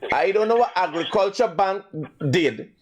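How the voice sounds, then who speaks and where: A Guyanese man in his forties hosting a call-in talk show, slow and reflective alone, loud and rapid when arguing. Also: high voice, animated, lo-fi sound. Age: 40s. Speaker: radio call-in host.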